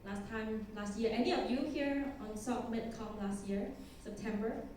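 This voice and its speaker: A woman in her thirties with a Thai accent gives a chatty conference talk in a big echoing hall. She sounds a little far from the mic, with soft room noise behind her. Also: fairly high pitch, moderate pace. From Thailand. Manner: chatty, self-deprecating, laughs often. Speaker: conference speaker. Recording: echoey hall, distant mic.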